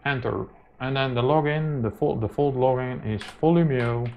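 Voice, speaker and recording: A man presenting an informal hands-on tech tutorial, speaking haltingly with very frequent hesitations. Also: mid-range voice, creaky, a little hiss or hum. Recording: muffled lo-fi mic, music behind.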